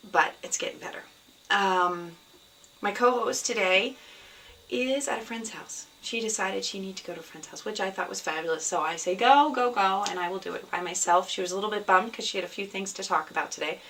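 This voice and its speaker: Hoarse woman host, scratchy voice. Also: mid-range voice, a little fast. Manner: rambling, many ums, soft and sad in places. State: hoarse from a cold. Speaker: podcast host. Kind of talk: casual video podcast chat. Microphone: camera. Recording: somewhat distant mic, faint background noise.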